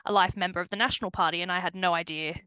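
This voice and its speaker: A woman's voice: on the low side, steady tone, very fast, pushed and bright, clear, resonant tone, no room sound. A young woman with an Australian accent, chatting casually as a podcast guest and laughing often. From New South Wales, Australia. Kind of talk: casual interview chat.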